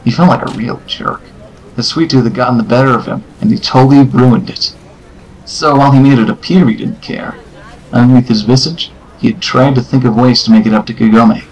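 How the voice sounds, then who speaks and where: A man's voice: medium pitch, a little fast, husky, rough recording. A man reading scripted story narration for an amateur audio drama.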